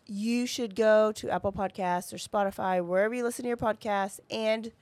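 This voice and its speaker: A woman's voice: medium pitch, fairly even intonation, normal speed, a little mellow, dry recording. A woman chatting informally and casually as a podcast co-host. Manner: chatty, informal, uses y'all.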